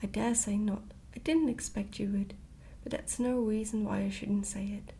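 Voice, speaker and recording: A woman plays a mysterious old great-great-grandmother from a fairy tale. The speech is calm, kindly and half-whispered, with vocal fry and a soft, dark tone over faint noise. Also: mid-range voice, normal speed, natural voice. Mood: patient. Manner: calm, patient, gently cryptic. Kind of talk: hushed ASMR storybook reading.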